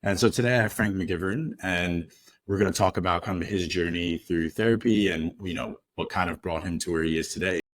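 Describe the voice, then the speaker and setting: A man hosting a podcast interview, asking gentle, hedging questions with many fillers. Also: sits low, brisk, dry recording. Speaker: podcast host.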